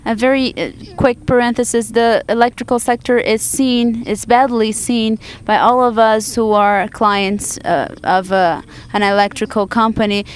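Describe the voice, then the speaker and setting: A woman interpreting a panel discussion live into English from a conference booth, halting often to restart and correct her words. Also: fairly high pitch, fairly even intonation, a little hiss or hum.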